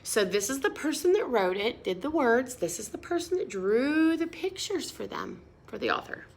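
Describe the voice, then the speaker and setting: A woman reading a picture book aloud to children in a sing-song, expressive way, pausing to explain words and ask questions. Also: on the high side. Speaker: storybook reader.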